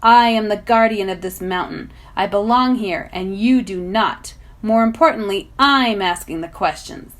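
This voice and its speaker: A woman's voice: mid-range voice, normal speed, hardly any pauses, lightly acted. Woman voicing a commanding teenage crystal boy guardian. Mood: suspicious, stern. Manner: commanding, suspicious, faintly irritated.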